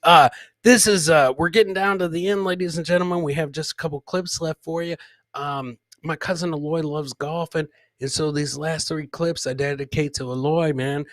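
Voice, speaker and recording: A man's voice: fairly high pitch, fairly even intonation, normal speed, no echo. A man hosting a live comedy podcast, chatting informally and rambling as he introduces clips and talks to viewers. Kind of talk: casual live-stream chat, riffing on clips and reading viewer comments.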